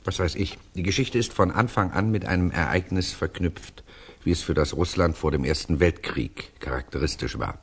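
A grave storyteller in a 1960s radio drama. His voice is low and somewhat husky. He recounts things in measured, literary phrases, deliberate and serious. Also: full character voice. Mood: reflective.

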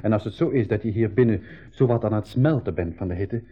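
An actor playing an excitable, outspoken young man on an expedition in a 1980s school radio play. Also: lowish voice, fairly quick, natural voice. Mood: teasing. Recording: dull old radio play recording.

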